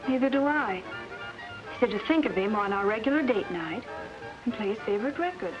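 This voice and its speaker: Puzzled lovesick young gal actress, high voice, old 40s movie, muffled w music behind. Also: female voice, expressive, fairly quick, lightly acted. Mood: puzzled, wistful. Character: lovesick, puzzled young American sweetheart of a soldier. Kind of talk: 1940s film acting. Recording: muffled 1940s film soundtrack, music behind.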